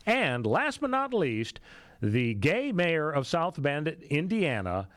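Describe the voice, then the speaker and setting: A man's voice: fairly high pitch, sing-song, takes it slowly, fairly bright tone, no room sound. An American man hosting talk radio, giving conversational political commentary with wry rhetorical questions. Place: radio studio.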